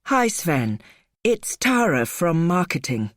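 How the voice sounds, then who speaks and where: Woman acting polite office marketing lady in a textbook phone call, lowish voice, lots of up and down. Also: very animated, slow, no echo, clean studio sound, heavily acted. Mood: polite, mildly concerned. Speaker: voice actor in language lesson dialogue. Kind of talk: scripted phone-call role-play.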